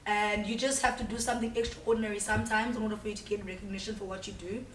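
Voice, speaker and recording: A woman's voice: mid-range voice, little pitch movement, rapid-fire, a bit bright, a little hiss or hum. A bubbly woman in her twenties with a South African accent, chatting as an interview guest and laughing often. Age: 20s. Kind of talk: casual interview chat. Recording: echoey room, mic at a distance.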